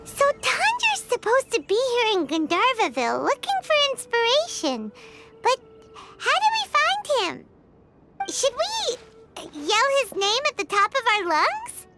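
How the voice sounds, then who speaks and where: A woman voicing a tiny childlike fairy companion in an anime video game, chirpy and eager, with a squeaky voice. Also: wildly swooping pitch, a little slow, over music, exaggerated voice. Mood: puzzled. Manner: exclamatory, refers to self in third person.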